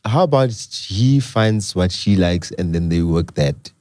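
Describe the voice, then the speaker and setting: Podcast co-host, South African man, loud and animated, curses freely, dry close mic. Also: low voice, unhurried, no echo. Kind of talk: casual chat.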